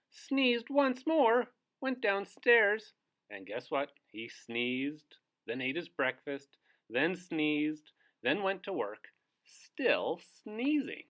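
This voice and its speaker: A man's voice: fairly high pitch, fairly bright tone. A man hosting a read-aloud for young children, narrating theatrically and putting questions to his young listeners.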